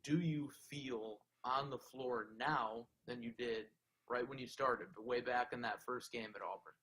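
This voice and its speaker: A man's voice: mid-range voice, fairly even intonation, measured pace, fairly bright tone, poor audio. Conversational male sports show host. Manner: conversational, friendly, leads with "you know". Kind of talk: interview questions.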